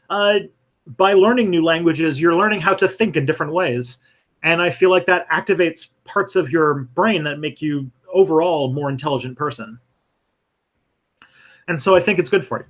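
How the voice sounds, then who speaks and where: Hesitant man answering stream questions. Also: a little high. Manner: thoughtful, hesitant, many fillers and restarts. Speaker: history channel host answering viewer questions. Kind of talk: casual live-stream Q&A.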